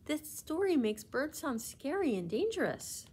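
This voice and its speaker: Woman as an indignant schoolgirl, higher-pitched. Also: big pitch swings, a little slow, a little mellow. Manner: insistent, protesting. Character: young schoolgirls arguing, earnest and indignant.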